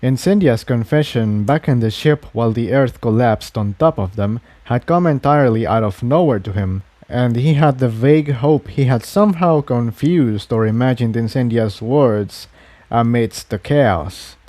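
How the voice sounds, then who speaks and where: A man narrates an adventure story from a script as an amateur reader. His voice is middling in pitch, and the sound is dry with no room echo. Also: normal speed, warm tone. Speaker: amateur fan fiction reader.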